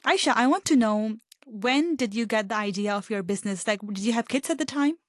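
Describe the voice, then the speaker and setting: A woman's voice: on the high side, brisk, no room sound. A woman from Hong Kong hosting a radio interview, reading upbeat scripted introductions and asking friendly questions. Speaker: radio host.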